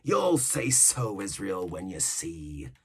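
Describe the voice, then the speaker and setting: Brief: a man playing a cunning older cook, gravelly growl, lively swings in pitch. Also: takes it slowly, heavily acted. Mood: menacing. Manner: growling, theatrical, shifting between bluster and whispers. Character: scheming one-legged pirate cook, older man, cunning.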